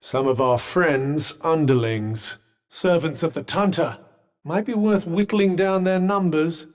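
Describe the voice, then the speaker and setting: Guy doing a snooty british talking magic bracelet for a video game, kinda high voice, dry n sarcastic, big long reverb. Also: a little slow, lightly acted. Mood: cautious, wry. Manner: dry, sardonic, wordy and formal. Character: witty, haughty sentient magical cuff companion.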